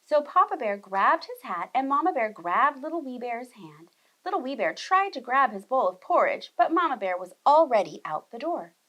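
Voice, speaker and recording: Casting: female preschool storyteller, high voice, highly animated, speaking directly to young listeners. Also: moderate pace, rough recording. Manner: expressive, addresses young children with questions and explanations. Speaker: children's storyteller, preschool teacher. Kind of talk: animated storybook read-aloud.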